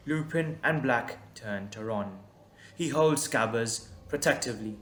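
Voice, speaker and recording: Slow-paced male story narrator, roomy sound. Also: mid-range voice, fairly bright tone, a little hiss or hum. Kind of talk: scripted dramatic narration. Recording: roomy echo, background music.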